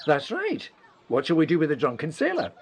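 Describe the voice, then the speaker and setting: A man playing a kindly, brisk wartime village doctor in a radio play, with a Scottish accent and a hearty, avuncular manner. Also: fairly high pitch, huge pitch swings. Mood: kindly, cheering.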